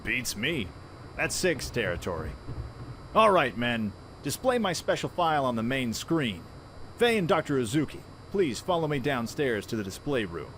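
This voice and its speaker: A man playing a brash, friendly young pirate prince in an animated, informal way for a fan audio drama. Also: medium pitch, normal speed, a little hiss or hum, rough recording. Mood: upbeat, casual.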